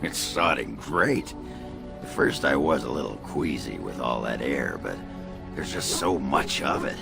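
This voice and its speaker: An actor voicing a gruff, boozy, belligerent dwarf warrior in a video game, gravelly, crude and hearty. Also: male voice, a bass voice, animated, a little slow, heavily acted. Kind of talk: video game dialogue acting. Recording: game music behind.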